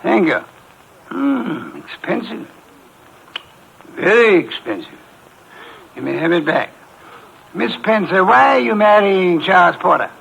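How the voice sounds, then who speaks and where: Pompous, imperious private detective, bright gravelly voice, clipped formal diction, vintage radio mystery. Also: male voice, high voice, big pitch swings, takes it slowly, long pauses, exaggerated voice, poor audio. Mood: formal, probing. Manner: pompous, curt, formal and precise wording. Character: pompous, sedentary, brilliant middle-aged male private detective. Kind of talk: 1950s radio mystery acting. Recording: vintage 1950s radio broadcast, faint hiss.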